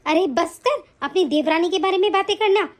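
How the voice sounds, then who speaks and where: A woman's voice: very high-pitched, a little fast. A woman playing a spiteful, scheming, hot-tempered mother-in-law in a family melodrama, scolding and complaining. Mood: angry, threatening. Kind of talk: domestic family melodrama acting.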